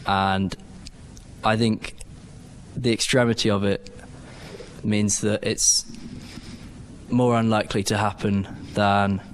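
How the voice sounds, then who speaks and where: Thoughtful young man from England, low voice. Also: little pitch movement, a little hiss or hum. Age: teens. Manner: thoughtful, halting argument. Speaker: student guest.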